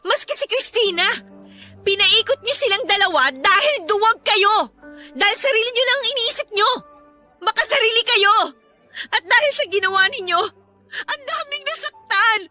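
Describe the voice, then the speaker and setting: An actress with a Filipino accent playing a bitter, defiant young adult daughter in an emotional, accusing radio drama style. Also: female voice, very high-pitched, animated, moderate pace, bright, forceful tone, soft background music, exaggerated voice. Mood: tearful, furious.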